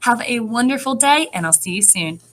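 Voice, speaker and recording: A woman speaking as a first-grade teacher, reading and explaining to young children in an animated, encouraging way. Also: on the high side, a little fast. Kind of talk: read-aloud and lesson addressed to young children.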